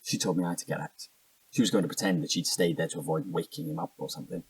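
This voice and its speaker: An actor playing an evasive, defensive cheating husband in a naturalistic audio drama, protesting and stammering when cornered. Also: male voice, low-pitched, rapid-fire, husky, no room sound, recorded from a distance, heavily acted, low-quality recording. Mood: cornered, irritated.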